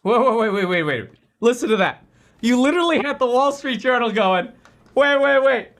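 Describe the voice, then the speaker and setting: A man co-hosting a livestream, reacting to a clip in an animated, joking way. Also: extremely high pitch, brisk.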